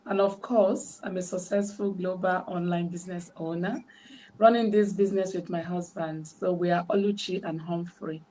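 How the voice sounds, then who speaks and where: A woman's voice: lowish voice, steady tone, moderate pace, mellow, slight background noise, poor audio. A woman from Nigeria introducing herself on a live online panel, speaking steadily with occasional fillers. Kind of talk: self-introduction.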